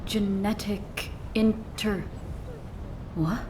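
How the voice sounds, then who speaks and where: A woman's voice: mid-range voice, steady tone, drawn-out words, background noise. An actress playing a bewildered, quaintly solemn woman out of her own time in a video game, hesitant and wondering. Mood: confused, wary. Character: bewildered woman waking from century-long sleep, quaintly solemn.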